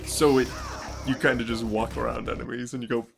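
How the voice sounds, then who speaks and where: A man's voice: mid-range voice, level tone, fairly quick, vocal fry, over music. A man chatting casually as a guest co-host on a let's play, giving brief reactions.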